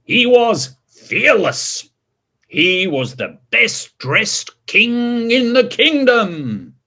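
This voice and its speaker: Expressive man reading slowly, very lively pitch. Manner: expressive, drawn-out words, chatty questions to listeners. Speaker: story reader. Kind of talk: animated picture-book reading with asides to children.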